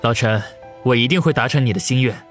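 A man voicing a calm, scheming younger gang leader in an audiobook, speaking with measured confidence. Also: fairly high pitch, faint music behind, voice pushed up. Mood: earnest, solemn. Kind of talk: audiobook character acting.

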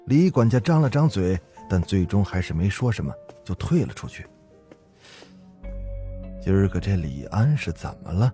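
A man with a low voice narrates horror stories as a podcast host. His delivery is conversational and folksy with a suspenseful edge, over faint music. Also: sing-song, normal speed, warm tone. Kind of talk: storytelling narration of ghost story.

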